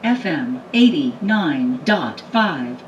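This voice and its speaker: A woman's voice: low-pitched, very animated, slow pace, dull audio. A woman's automated voice prompt giving short announcements, played through radio speakers. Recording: distant device speaker with music behind.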